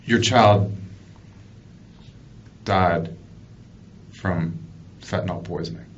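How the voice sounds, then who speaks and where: A man questioning someone as a police detective, speaking haltingly and often repeating himself. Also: sits low. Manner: halting, repetitive questioning with frequent acknowledgements. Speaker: police detective interviewing a suspect. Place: indoor police interview room. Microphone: distant room microphone. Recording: distant, muffled, noisy interview recording.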